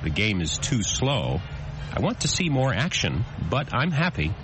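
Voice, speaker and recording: A man's voice: medium pitch, sing-song, a little slow. A man playing a frank football coach, answering in short simple sentences in a scripted lesson interview. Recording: clear urban outdoor noise.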